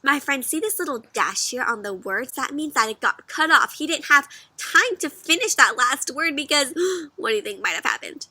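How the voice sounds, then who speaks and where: A woman reading a storybook aloud for children in an expressive, interactive way, putting questions to the listener. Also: high-pitched, moderate pace, no echo.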